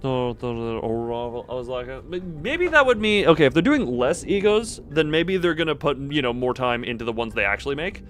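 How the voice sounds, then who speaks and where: A man's voice: mid-range voice, expressive, a little fast, hardly any pauses, fairly bright tone, a little hiss or hum. A man live streaming a game, chatting casually, reading dialogue aloud and breaking off into asides to chat. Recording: game music behind the voice.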